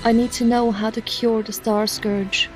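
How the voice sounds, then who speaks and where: A woman playing a calm, commanding young princess in an audio drama, measured, formal and determined. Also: on the high side, faint music behind, natural voice. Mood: quietly resolved.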